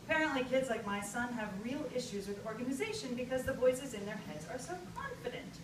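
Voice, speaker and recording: Animated woman reading aloud in an echoey space. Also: fairly high pitch. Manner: theatrical, emphatic, with deliberate pauses. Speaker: writer reading own essay. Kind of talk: humorous personal essay read to an audience. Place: live reading at an event. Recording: echoey, distant live recording with loud noise.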